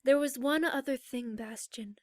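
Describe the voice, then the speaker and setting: Casting: woman to play stately alicorn princess for audio story, high-pitched, measured, formal and serious, recorded very dry. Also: no echo, lightly acted. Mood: grave, authoritative. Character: regal, grave adult female alicorn pony princess. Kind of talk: scripted audio drama acting.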